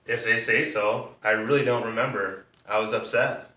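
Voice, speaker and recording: A man playing a soft-spoken, bitter defendant giving measured, restrained testimony in a courtroom monologue. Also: medium pitch, steady tone, lightly acted. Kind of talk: monologue performance of a courtroom drama. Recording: roomy echo, distant microphone.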